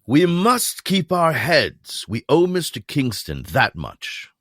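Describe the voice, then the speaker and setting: A man playing a calm, diplomatic leader of a secret society, trying to keep order in an audio drama. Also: fairly high pitch, slow pace, dry recording, studio quality, heavily acted. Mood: measured. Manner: soothing, diplomatic, mediating. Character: older male society leader, calm and measured.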